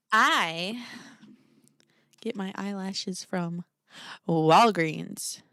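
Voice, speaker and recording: A woman's voice: mid-range voice, extremely slow, sharp tone, smooth, no echo, clean studio sound. A woman co-hosting a podcast, chatting in a playful, teasing way. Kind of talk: casual chat.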